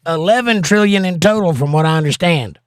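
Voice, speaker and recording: A man with a hoarse, partly lost voice, hosting a casual livestream and giving plain-spoken, folksy advice while reading viewer comments. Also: a little high, fairly quick, no room sound, clean studio sound. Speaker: livestream host and pastor.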